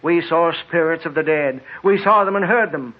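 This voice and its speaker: An actor playing an urgent, insistent desert traveler with a husky voice, earnest and melodramatic, in a vintage radio drama. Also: male voice, fairly high pitch, normal speed, natural voice. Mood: awed. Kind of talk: 1940s radio drama acting. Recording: tinny vintage radio recording.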